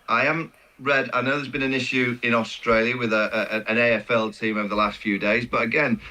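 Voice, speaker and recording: Bright, forceful male pundit. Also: medium pitch, poor audio. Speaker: cricket pundit. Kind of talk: conversational sports discussion.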